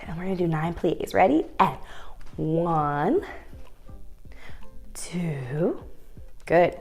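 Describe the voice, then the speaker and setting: A woman's voice: on the low side, expressive, very slow, creaky, a little mellow, faint music behind. A woman leading a story time ballet lesson for young children, giving encouraging instructions and sing-song questions.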